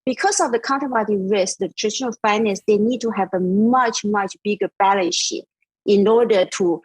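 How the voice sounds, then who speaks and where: Middle-aged woman guest, husky and dry, measured explainer, lots of ums. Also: mid-range voice, darkish tone, dry recording. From Taiwan, raised in Austria. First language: Mandarin. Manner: measured, explanatory, frequent fillers. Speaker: DeFi company CEO, guest. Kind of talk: interview answers.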